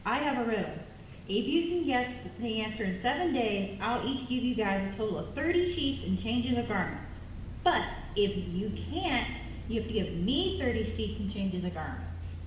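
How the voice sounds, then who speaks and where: Woman playing echoey, animated puppet strongman. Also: a little high, moderate pace. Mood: cocky challenge. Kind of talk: puppet show acting. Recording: very echoey, distant mic, faint noise.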